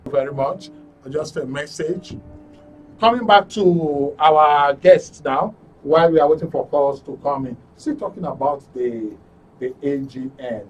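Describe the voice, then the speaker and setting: A man's voice: fairly high pitch, a little slow, distant mic, a little hiss or hum, poor audio. A man with a Nigerian accent hosting a live call-in talk show on radio and TV from a studio. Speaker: radio and TV host. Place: broadcast studio.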